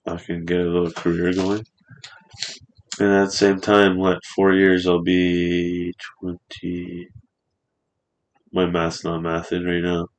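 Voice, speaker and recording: A man's voice: low-pitched, monotone, slow pace, long pauses, low-quality recording. A man in his twenties chatting casually on a podcast in a studio, rambling and swearing freely. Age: 20s.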